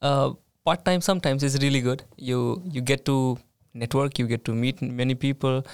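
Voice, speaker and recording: A man hosting a casual student podcast interview, friendly and often pausing on "uh" fillers. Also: medium pitch, fairly even intonation, clean tone, no echo, clean studio sound. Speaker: podcast host.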